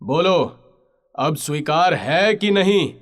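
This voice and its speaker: A man's voice: on the high side, expressive, slow. A man playing a bold pirate warrior in a dramatised audio story, speaking in a theatrical, commanding way. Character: bold young male pirate warrior, commanding yet tender.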